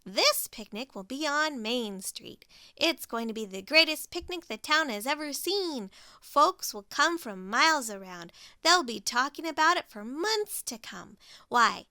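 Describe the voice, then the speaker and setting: A woman voicing a cheerful, boastful, carefree pig who talks in excited exclamations. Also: high voice, normal speed, no room sound, clean studio sound, full character voice.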